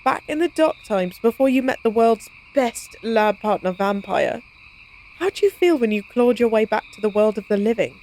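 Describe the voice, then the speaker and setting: A cocky female vampire with a fairly high voice, acted by a woman, talks casually in an intimate audio drama. She is teasing and wry, with the flippant air of a monster who loves science. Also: expressive, normal speed, darkish tone. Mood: curious, wry. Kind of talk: intimate audio roleplay, conversational acting.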